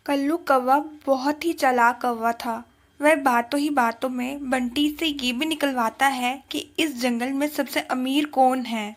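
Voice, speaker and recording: A woman's voice: high voice, steady tone, a little slow. A woman narrating a scripted children's story.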